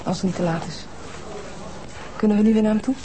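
A woman's voice: mid-range voice, fairly even intonation, fast, dark tone, slight background noise, lightly acted, poor audio. An actress playing a worried young mother who talks anxiously, in a Dutch TV drama.

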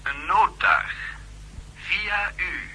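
A man's voice: high voice, big pitch swings, very slow, pushed and bright, husky, full character voice. An actor playing a guarded, urgent man on the run in a 1960s radio drama, giving insistent, clipped instructions. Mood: urgent, secretive. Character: urgent, secretive man hunted by a secret police. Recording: muffled old radio recording, faint hum.

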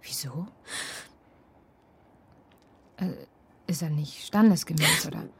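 A woman's voice: low-pitched, lively intonation, moderate pace, breathy edge, exaggerated voice. Tactful, hesitant policewoman. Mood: reassuring. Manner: hesitant, gentle questioning. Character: tactful, awkwardly friendly female police officer. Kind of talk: naturalistic German radio drama acting.

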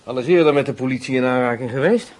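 A man playing a terse, probing interrogator in a radio play, with a husky voice. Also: mid-range voice, fast talker, a little mellow, natural voice. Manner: terse, questioning. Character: probing male interrogator questioning a young boy.

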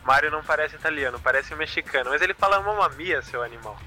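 Bright, sharp-voiced Brazilian man. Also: a little high, fast, soft background music. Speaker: podcast co-host. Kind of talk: casual live show chat.

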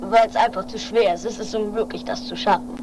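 An amateur radio-play performer voicing an anxious, self-reproaching mountaineer who mutters haltingly and repeats words. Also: mid-range voice, fairly even intonation, lightly acted. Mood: subdued. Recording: noisy homemade 1980s radio play recording.

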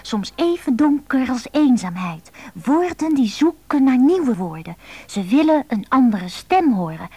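A woman's voice: sits high, a little mellow, no room sound, full character voice. An actress playing a lonely, impulsive seventeen-year-old schoolgirl confiding over the phone in a radio drama. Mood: tender, reciting. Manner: impulsive, confiding, swinging between defiant and tender.

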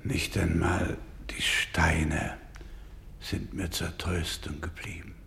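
Slow-speaking, anguished devout doctor. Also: male voice, deep voice, little pitch movement, creaky, fairly bright tone, slight background noise, heavily acted. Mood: hushed grief. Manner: grave, pleading, solemn. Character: anguished, devout male doctor caring for orphaned children. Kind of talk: German radio drama acting.

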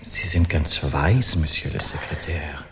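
An actor playing a brazen, eloquent Parisian artist in a German radio crime play, theatrical and mocking in manner. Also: male voice, a bass voice, steady tone, unhurried, noisy background. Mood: mocking, insinuating.

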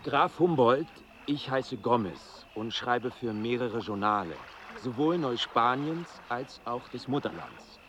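A man's voice: mid-range voice, expressive, unhurried, few pauses, fairly bright tone, slight background noise. A man in a radio play acting a servile, eager colonial newspaper reporter with a deferential manner. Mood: eager, obsequious. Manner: deferential, echoing as he notes down.

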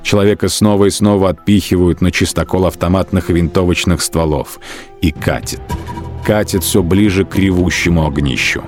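A man's voice: low-pitched, moderate pace, a little mellow. A man narrating a literary radio production in a dramatic, scripted storytelling style. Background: faint music.

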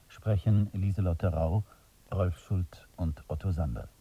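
A man's voice: sits low, breathy edge, distant mic, dull audio. A man working as a radio announcer, reading a scripted broadcast introduction.

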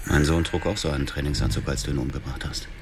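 An actor playing a grim, obsessed middle-aged widower with a gravelly voice, brooding and terse in a radio drama. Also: male voice, extremely low pitch, fairly even intonation, fast talker, background noise. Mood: cold, demanding. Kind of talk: radio drama narration and dialogue.

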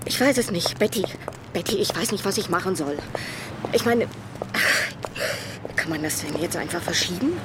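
A woman's voice: lowish voice, expressive, normal speed, pauses often, heavy background noise, lightly acted. An actress playing an overwrought, sardonic woman around forty, speaking in blunt, agitated bursts of naturalistic radio drama dialogue. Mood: distraught, pleading. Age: 40s. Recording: loud music behind. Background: music.

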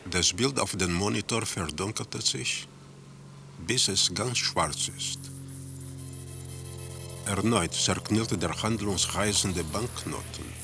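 An actor playing a detached narrator in a radio drama, describing eerie scenes in a measured, matter-of-fact way. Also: male voice, low-pitched, gravelly, noisy background, heavily acted. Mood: sombre, measured.

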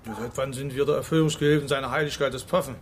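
An actor playing an irritable, grumbling village police chief in a radio drama, gruff and exasperated. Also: male voice, mid-range voice, fairly even intonation, racing, a little hiss or hum, lightly acted.

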